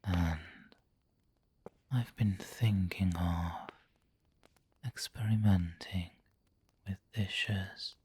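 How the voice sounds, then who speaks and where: A man playing a refined, doting, possessive vampire lord in an ASMR roleplay, breathy and whispery, with a genteel, coaxing manner. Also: deep voice, darkish tone, a little hiss or hum. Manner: slow, genteel, coaxing endearments.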